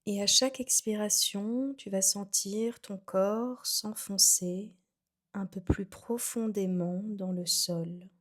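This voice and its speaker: A woman guiding a relaxation session as a yoga nidra instructor, soothing and unhurried, with phrases that trail off softly. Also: mid-range voice, fairly even intonation. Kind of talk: guided meditation, calm instruction.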